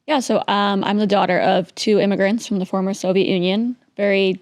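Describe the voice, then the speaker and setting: A woman's voice: medium pitch, steady tone, a little mellow, dry recording. A young woman from New York City, a Russian native speaker, telling her story as an interview guest, chatty and full of "like" and "um". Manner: chatty, quick, peppered with "like" and "um".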